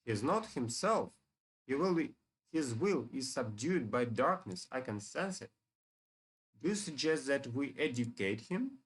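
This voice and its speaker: An earnest hero from a fantasy game speaks, read aloud by a man. The delivery is solemn and declamatory, at an average male pitch. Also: very animated, a little slow, breathy edge. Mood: questioning. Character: earnest fantasy heroes returning to a ruined dragon village.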